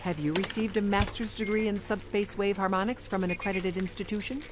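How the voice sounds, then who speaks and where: A woman playing a precise, literal-minded starship commander in an audio drama, formal and deadpan. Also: medium pitch, brisk, very noisy, close to an everyday voice. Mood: dry, exact.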